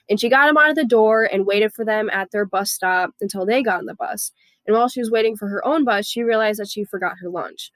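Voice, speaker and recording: A woman's voice: mid-range voice, no room sound. A young woman hosting a history podcast, reading her story in a casual manner, with a stuffy nose from a head cold. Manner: casual, read-aloud storytelling with fillers. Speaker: podcast host. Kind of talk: student history podcast narration.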